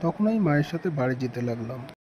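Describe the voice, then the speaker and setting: A man's voice: mid-range voice, animated, fast, dull audio, very noisy. A man narrating a ghost story in the first person as a storyteller.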